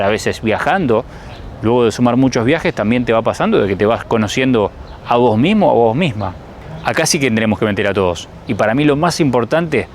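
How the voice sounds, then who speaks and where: A man from Rosario, Argentina, speaking in a relaxed, reflective way as he thinks out loud and talks straight to his viewers. His voice sits at a middle pitch with a Rosario accent. Faint city traffic can be heard outdoors behind him. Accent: Argentine Spanish, Rosario. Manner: reflective, thinks aloud, addresses viewers directly. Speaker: travel vlogger. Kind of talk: casual talk to camera.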